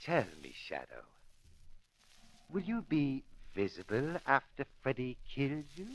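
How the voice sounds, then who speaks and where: An actor playing a gloating, unhinged animal-trainer villain in an old-time radio crime drama, theatrical and menacing, swinging between hushed and frenzied. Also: male voice, medium pitch, huge pitch swings, a little slow. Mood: gloating menace. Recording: old radio recording with faint noise.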